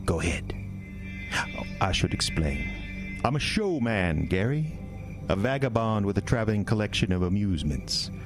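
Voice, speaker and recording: An actor playing a sinister, smooth-talking carnival showman who coaxes and draws out his lines. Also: on the low side, close to an everyday voice. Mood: coaxing. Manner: theatrical, coaxing, drawn-out lines. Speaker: carnival showman in a radio drama. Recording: music bed behind the voice.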